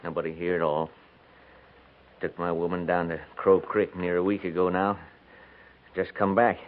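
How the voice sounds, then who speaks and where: An actor playing a stubborn, weary frontier homesteader in a 1950s radio western, with terse, folksy rustic speech. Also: male voice, low voice, level tone, moderate pace, natural voice. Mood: plain, defensive. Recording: noisy, tinny 1950s radio recording.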